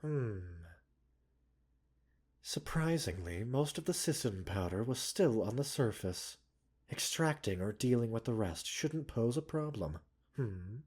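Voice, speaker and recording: Male actor as composed pharmacist doctor, soft breathy tone, slow pace, gently chiding, soothing, formal, ASMR roleplay. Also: mid-range voice, expressive. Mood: soothing, attentive. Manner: soothing, unhurried, politely formal with teasing asides. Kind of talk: ASMR roleplay audio drama.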